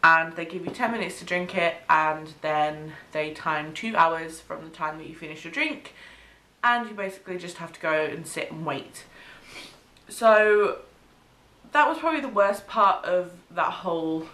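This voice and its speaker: A young woman from the UK, chatting casually in a home vlog, sniffly from a cold, with frequent ums and soft laughs. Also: lowish voice, unhurried. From United Kingdom. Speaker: vlogger. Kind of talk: casual pregnancy update vlog. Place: small bedroom or home studio, indoors. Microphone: camera mic, head-and-shoulders framing. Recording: echoey room.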